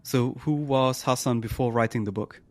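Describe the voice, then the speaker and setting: A man's voice: mid-range voice, normal speed. Man hosting a podcast interview. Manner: curious, plain questions. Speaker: podcast host. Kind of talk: podcast intro and interview questions.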